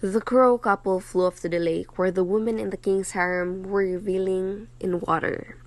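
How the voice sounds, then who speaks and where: A woman's voice: medium pitch, steady tone, moderate pace. A woman narrating a scripted story as a storyteller.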